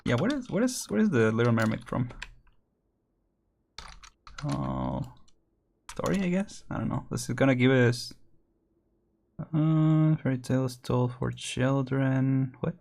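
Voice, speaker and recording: Chatty game streamer, lively voice. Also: medium pitch, unhurried, creaky, pauses often, a little hiss or hum. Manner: chatty, laughs often, thinks aloud. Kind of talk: casual let's-play commentary.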